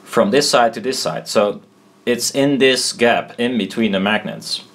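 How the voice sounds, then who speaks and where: A man giving an informal tutorial at a desk, thinking aloud in a halting, self-deprecating way. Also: mid-range voice, a bit bright. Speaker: presenter explaining loudspeaker technology. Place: indoors at a desk.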